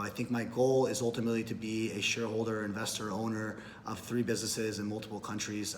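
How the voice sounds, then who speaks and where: A man's voice: fairly low pitch, slight background noise. A man in his thirties from Peru, an entrepreneur giving a prepared self-introduction in a businesslike way, with occasional fillers and self-corrections. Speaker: camp applicant, entrepreneur.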